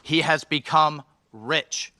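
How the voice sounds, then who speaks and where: A man delivering a formal prepared speech at a measured pace, reading from a text. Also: on the high side, sharp tone, dry recording. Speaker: official giving a speech.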